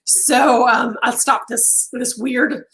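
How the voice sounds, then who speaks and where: A woman hosting a casual crochet live stream from home, chatty with many ums and pauses, her voice going hoarse and interrupted by throat clearing. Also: a little high, fairly even intonation, fairly bright tone, low-quality recording. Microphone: phone on a mount.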